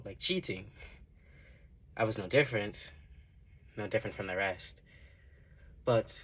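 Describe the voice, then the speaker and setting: A man playing a devout former tax collector in a dramatic monologue, giving earnest, measured testimony. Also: medium pitch, bright, forceful tone, breathy edge, heavily acted. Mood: reflective, candid. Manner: earnest, measured, emphatic storytelling.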